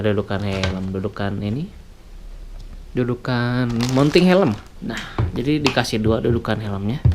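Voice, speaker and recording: Casual man, noisy recording. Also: fairly low pitch. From West Java, Indonesia. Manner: jokey, chuckles often, colloquial. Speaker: vlogger reviewing products. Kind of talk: casual unscripted product review. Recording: clearly noisy recording with clicks.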